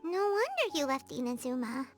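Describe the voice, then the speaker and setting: A tiny floating companion creature from a video game, bubbly and chatty. The voice sits extremely high and leaps all over the place. She refers to herself by her own name. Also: big pitch swings, moderate pace, over music.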